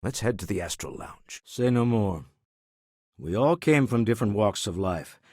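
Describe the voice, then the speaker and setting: An urbane, moneyed older businessman with a taste for exploration in a video game. His voice sits fairly low and moves through lively, expressive swings. The phrasing is genteel, measured and wry, captured in a very dry studio sound. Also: no echo. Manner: refined, measured, dryly witty phrasing. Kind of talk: video game character dialogue.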